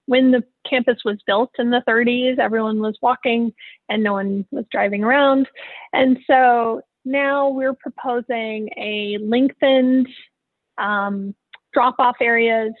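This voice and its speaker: A woman's voice: a little high, no room sound. A woman presenting plans at an online public meeting, over thin phone-like call audio. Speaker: facilities planning presenter.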